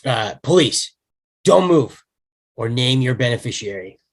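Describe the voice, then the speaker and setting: A man playing a wry, weary cop in a script table read, with a husky voice and dry, sardonic delivery. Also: medium pitch, lively intonation, measured pace. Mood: wry, tough.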